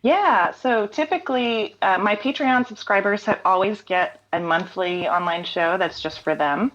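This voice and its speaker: A woman's voice: mid-range voice, a little slow, a bit bright, dull audio. A woman guest speaking candidly in a casual interview, reflective, with frequent ums and restarts. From North Carolina. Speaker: interview guest, singer-songwriter.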